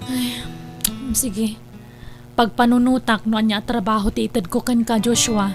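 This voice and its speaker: Actress as high-voiced wronged Ilocano wife. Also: female voice, flat delivery, a little slow, soft background music, close to an everyday voice. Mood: reproachful. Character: wronged wife and mother, hurt, angry, resolute. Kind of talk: Ilocano radio drama acting.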